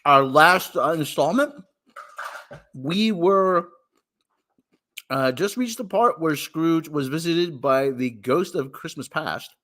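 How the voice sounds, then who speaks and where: Lively, animated male podcast host. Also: takes it slowly, pauses often. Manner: lively, chatty, talks baby talk to his cat in a high voice. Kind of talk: casual live-stream chat.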